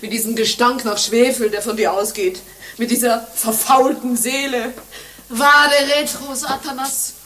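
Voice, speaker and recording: Solemn, scornful nun. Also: female voice, fairly high pitch, steady tone, measured pace, fairly bright tone. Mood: indignant, anguished. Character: pious, world-weary, indignant nun. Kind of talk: German radio play acting.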